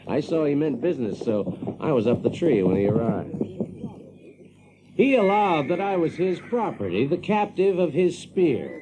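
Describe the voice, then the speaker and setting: A man's voice: fairly high pitch, a little slow, background noise. An actor playing a brash, practical Yankee factory man who tells his tale in a folksy, chuckling way for an audio drama. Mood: wry, puzzled. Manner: folksy, chuckling, animated, drawling emphasis. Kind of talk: audio drama first-person storytelling.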